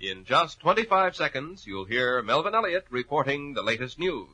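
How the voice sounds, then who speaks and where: A man's voice: mid-range voice, sing-song, moderate pace, pushed and bright. A man announcing in scripted 1940s radio advertising style.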